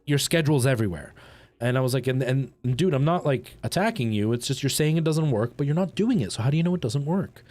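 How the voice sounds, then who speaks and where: A man hosting a livestream, chatting casually and colloquially with his viewers in a rambling, animated way. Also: mid-range voice, fast talker. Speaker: live streamer and host.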